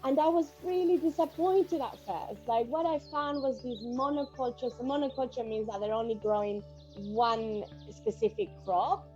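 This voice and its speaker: A woman's voice: a little high, moderate pace, darkish tone, faint music behind, rough recording. A woman from Ecuador, a guest in a casual conversation, telling a long story earnestly with many "like"s and "you know"s. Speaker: cacao seller, guest.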